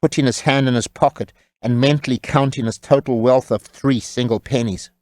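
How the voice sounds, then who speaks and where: A man's voice: mid-range voice, steady tone, moderate pace, darkish tone, no room sound, studio quality. A man narrating a scripted comic tale as a wry, tongue-in-cheek storyteller.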